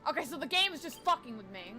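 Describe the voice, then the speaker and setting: A woman's voice: sits high, big pitch swings, quick pace. A woman giving casual, blunt gameplay commentary with plenty of swearing, as game music plays. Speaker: gaming streamer.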